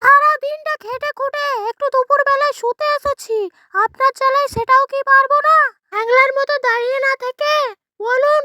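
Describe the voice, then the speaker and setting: A woman doing a shrill spiteful daughter-in-law. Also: fairly even intonation, a little fast, background noise. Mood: irritated scolding. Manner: scolding, mocking, sharp.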